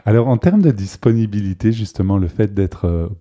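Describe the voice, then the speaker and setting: Deep man interviewing, very dark mellow tone, questions wander and restart. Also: animated, brisk.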